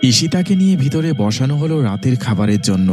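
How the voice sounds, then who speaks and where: A man's voice: medium pitch, very animated, a little fast, mellow, faint music behind. A man narrating a scripted horror story as its storyteller.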